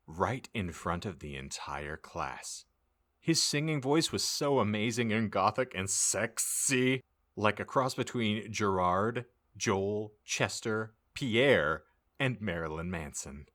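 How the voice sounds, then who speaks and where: A man narrates a comic audiobook in a deep, bright-edged voice. His pitch swings widely as he reads, giving it a theatrical, mock-serious delivery. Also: lively intonation, unhurried. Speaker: podcast host reading aloud.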